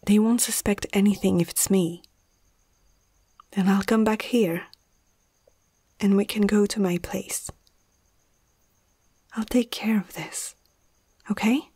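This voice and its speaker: Woman playing nervous caring young vet lady, asmr roleplay, slow breathy half whisper, hesitant, close mic. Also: medium pitch, steady tone, mellow, no room sound. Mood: reluctant, reassuring. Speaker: amateur ASMR roleplay performer.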